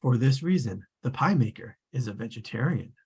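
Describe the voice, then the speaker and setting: A performer reading the part of an omniscient, wry storybook narrator in a measured, formal way at a table read. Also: mid-range voice, lively intonation, a little mellow, breathy edge.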